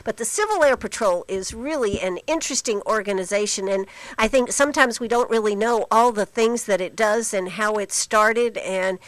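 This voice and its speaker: A woman's voice: medium pitch, moderate pace, few pauses, no room sound, poor audio. A woman hosting a talk show, chatty and teasing, laughing easily with her guests. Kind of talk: casual interview.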